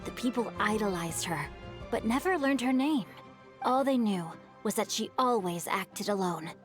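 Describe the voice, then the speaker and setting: A woman's voice: medium pitch, animated, normal speed, lightly acted. Woman voicing a bookish, articulate young man. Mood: thoughtful, narrating. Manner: articulate, formal, thoughtful. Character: bookish young man, polite, thoughtful, well-spoken storyteller. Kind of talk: video game voice acting, measured storytelling. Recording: game dialogue over background music. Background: game music.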